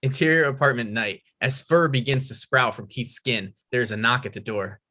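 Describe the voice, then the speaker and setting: A man's voice: mid-range voice, normal speed, bright, forceful tone. A man narrating stage directions, reading a screenplay aloud at a table read.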